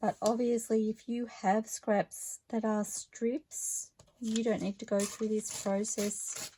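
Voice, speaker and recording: A woman with a slightly croaky voice, chatting her way through a craft project step by step in an unhurried, friendly tone. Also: fairly high pitch, level tone, dark tone, slight background noise. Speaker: craft vlogger. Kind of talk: relaxed craft narration while working.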